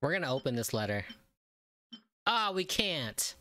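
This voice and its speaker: A man's voice: mid-range voice, very animated, measured pace, a little hiss or hum. A man giving casual gameplay reaction commentary, thinking aloud informally. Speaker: gaming video creator. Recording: loud game music behind voice. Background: video game music.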